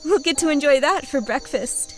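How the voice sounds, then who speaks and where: A cheeky young thief played by a woman in a fantasy audio drama. She is high-voiced and very animated, with a brash, dismissive way of talking over faint music. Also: normal speed. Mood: gleeful, impatient.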